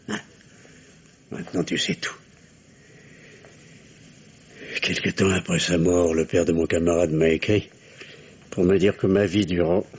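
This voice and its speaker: Bitter, mocking middle-aged husband, muffled sound. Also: male voice, low voice, slightly rough. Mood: grave confession. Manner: theatrical, sardonic, deliberate articulation. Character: bitter, mocking husband, fallen surgeon who drinks. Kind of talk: old French radio drama acting. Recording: dull, muffled old radio recording.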